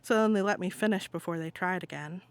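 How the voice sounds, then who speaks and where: A woman's voice: lowish voice, smooth, no room sound, close to an everyday voice. Woman acting out an exasperated patient venting by phone. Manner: casual, venting, halting one-sided phone talk. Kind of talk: dramatic monologue, conversational stage reading.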